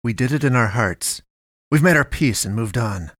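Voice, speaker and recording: A man's voice: mid-range voice, lively intonation, normal speed, fairly bright tone, no echo. Man playing a dry, resigned podcaster, close-sounding. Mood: weary, dismissive. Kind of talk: scripted comedy podcast sketch.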